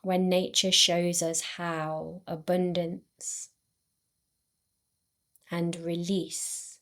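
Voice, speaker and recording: A woman guiding a yoga nidra relaxation in a soothing, unhurried way, with long pauses between short phrases. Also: fairly low pitch. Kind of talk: guided meditation.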